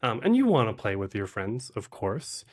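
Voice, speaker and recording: A man's voice: lowish voice, sing-song, moderate pace. A man talking casually as a podcast guest, conversational, sometimes voicing imagined remarks as he explains. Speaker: podcast guest, actual play game master and producer. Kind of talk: casual interview chat giving advice.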